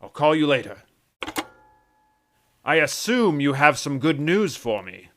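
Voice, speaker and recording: A man's voice: fairly high pitch, sing-song, measured pace, fairly bright tone. An actor playing a haughty, irritable middle-aged businessman and father, pompous and curt, in pulp radio drama style. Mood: irritated.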